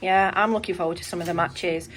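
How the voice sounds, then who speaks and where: A British woman hosting a casual chat, asking questions in a soft, quiet voice. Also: fairly low pitch, steady tone, fast talker. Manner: soft-spoken, light questioning. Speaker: host.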